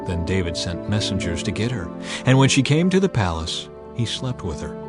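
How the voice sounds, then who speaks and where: A man giving a measured, solemn, dramatized narration of scripture as an audiobook reader. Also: low voice, very animated. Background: music.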